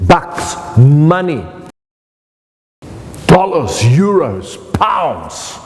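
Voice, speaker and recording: A middle-aged man with a South African accent leads a motivational lesson. His voice rises and falls with animated swings. He talks straight to the listener, and the sound rings out in a long hall reverb. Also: fairly high pitch, extremely slow, a little hiss or hum. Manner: lively, playful, speaks directly to the viewer. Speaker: motivational speaker and magician. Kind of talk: online course lecture.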